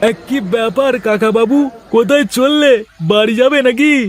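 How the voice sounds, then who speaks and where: A man's voice: extremely high pitch, moderate pace, a little hiss or hum. A man voicing an eager, obliging village fellow in a cartoon story. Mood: cheerful, obliging. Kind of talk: Bengali cartoon dialogue.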